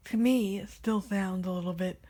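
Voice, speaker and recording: Casting note: raspy, slow-talking woman vlogger, nasal from a cold, soft and half-whispered, handheld camera. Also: medium pitch, steady tone. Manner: soft-spoken, often drops to a whisper. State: recovering from a cold, nasal and hoarse. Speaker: vlogger showing her doll collection. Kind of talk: casual rambling vlog. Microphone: handheld camera without tripod.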